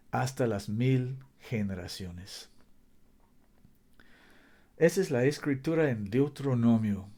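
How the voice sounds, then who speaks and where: A man preaching a sermon. He reads scripture slowly and deliberately, stresses key words with emphasis, and sometimes stumbles over his Spanish. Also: mid-range voice, mellow. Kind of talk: sermon with Bible reading.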